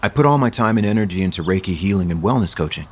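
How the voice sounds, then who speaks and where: A man's voice: deep voice, animated, rapid-fire, darkish tone, slight background noise. An actor playing a guarded, self-assured male wellness coach in an audio soap opera.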